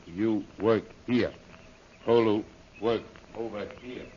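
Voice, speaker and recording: An actor playing a stolid, loyal native guide and bodyguard who speaks terse broken English, in 1930s radio style. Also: male voice, on the low side, steady tone, slow, mellow. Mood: patient, stubborn. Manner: broken pidgin English, terse clipped phrases. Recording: dull lo-fi old radio, faint noise.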